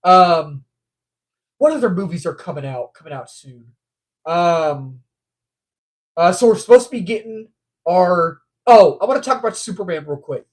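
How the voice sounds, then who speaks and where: A man's voice: high-pitched, lively intonation, moderate pace, long pauses. A man hosting a casual movie news livestream, stuffed up from allergies, rambling and repeating himself with frequent ums.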